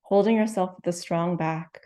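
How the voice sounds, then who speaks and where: Meditation teacher, female, low, warm tone with a soft gentle delivery. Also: fairly even intonation. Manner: slow, gentle, soothing instructions with long pauses. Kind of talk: guided meditation.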